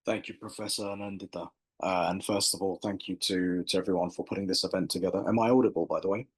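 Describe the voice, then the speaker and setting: A man with an English accent, a barrister speaking on an academic panel over a video call in a measured, lawyerly way, often repeating words as he goes. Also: low-pitched, fairly even intonation, breathy edge. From England. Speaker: barrister and institute director, panelist.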